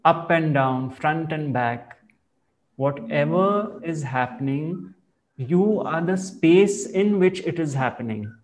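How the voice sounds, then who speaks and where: A man speaking as a spiritual teacher, explaining ideas in slow, measured and reflective phrasing. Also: a little high, animated, poor audio. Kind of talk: calm explanatory spiritual talk.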